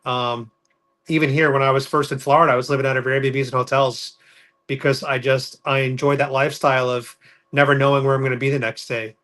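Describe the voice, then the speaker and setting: Male podcast guest from New York, bright and forward tone, level matter-of-fact delivery. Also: medium pitch, level tone, fairly quick. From New York City. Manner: matter-of-fact, orderly storytelling. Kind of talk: casual podcast interview.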